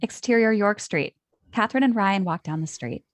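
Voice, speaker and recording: A woman's voice: medium pitch, lively intonation, normal speed, no echo, clean studio sound. Female stage-direction reader, mid-range voice, scripted narration for a comedy table read.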